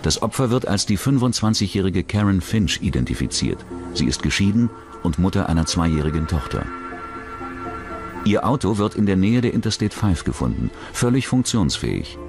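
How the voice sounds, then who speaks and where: A male narrator with a very deep voice reads a scripted true-crime documentary. Clearly audible music runs behind him. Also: very animated, moderate pace.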